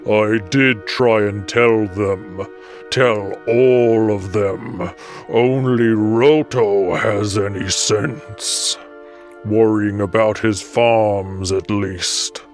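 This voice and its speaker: An actor playing a weary, scornful dragon with a harsh, discordant voice and a disdainful manner. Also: male voice, lowish voice, steady tone, soft background music. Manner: slow, disdainful.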